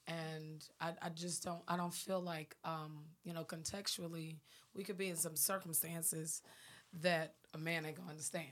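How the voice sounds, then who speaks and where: Brief: raspy woman singer, lower pitch, emphatic and sincere on a panel. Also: little pitch movement, normal speed, fairly bright tone. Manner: earnest, emphatic, checks listener understands. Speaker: gospel singer. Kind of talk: panel interview conversation.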